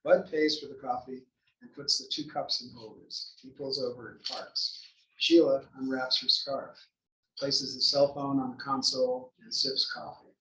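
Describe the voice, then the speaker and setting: A man's voice: medium pitch, steady tone, normal speed, sharp tone. Distant-sounding man reading stage directions. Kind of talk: scripted narration at a play table read. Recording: echoey room, distant mic.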